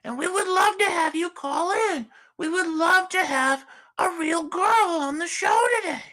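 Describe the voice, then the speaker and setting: A man voicing a gushing woman host in falsetto on a comedic livestream, starting almost every line with "well". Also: unhurried, sharp tone, full character voice. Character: shrill fake woman hostess, gushing. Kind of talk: comedic livestream character bit.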